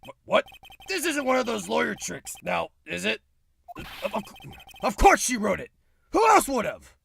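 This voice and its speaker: A man voicing a bumbling, stammering police detective with a gravelly voice, in a fan-dubbed courtroom drama. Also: high voice, big pitch swings, normal speed, fairly bright tone, full character voice. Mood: flustered.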